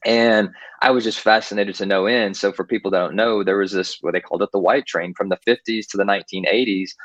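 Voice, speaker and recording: Male author guest from Texas, rambling chat, low voice, lo-fi recording. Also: steady tone, fast, fairly bright tone, no echo. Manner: rambling, many restarts and fillers. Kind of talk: casual interview talk. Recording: dull lo-fi, dry.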